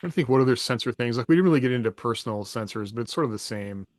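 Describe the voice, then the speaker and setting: A man's voice: medium pitch, animated, racing, darkish tone, no echo. A man co-hosting a podcast and talking casually in a panel chat. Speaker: podcast co-host, writer.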